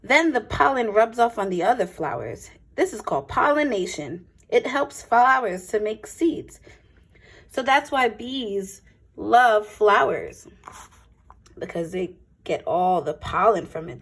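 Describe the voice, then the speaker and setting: Female primary teacher for a picture book read-aloud: slow, deliberate, a little husky, explaining simply to little kids. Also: mid-range voice. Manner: simple explanatory asides to young listeners; expressive, deliberate phrasing for children. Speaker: teacher reading aloud to young children.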